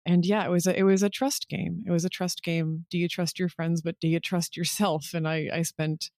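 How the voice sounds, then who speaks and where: A woman's voice: on the low side, little pitch movement, normal speed, darkish tone, no room sound, studio quality. A woman chatting casually in an interview as a podcast creator, candid and self-deprecating in a rambling way.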